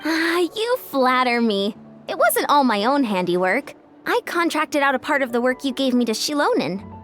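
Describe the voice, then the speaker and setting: An actress playing an earnest, brisk, energetic young female sports coach in a video game. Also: high voice, soft background music. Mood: modest, frank.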